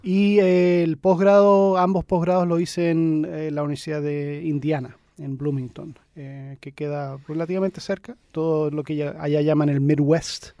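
A man, answering interview questions thoughtfully, pausing often with fillers. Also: fairly high pitch, level tone, warm tone, dry recording. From Chile. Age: 50s. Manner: thoughtful, hesitant with many fillers. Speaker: guest, academic.

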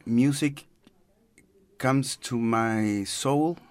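Man, thoughtful and unhurried, dry close-miked read. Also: mid-range voice, smooth, dry recording. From Chile. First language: Spanish. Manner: thoughtful, searching for words in a second language. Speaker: guest. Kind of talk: casual conversational interview.

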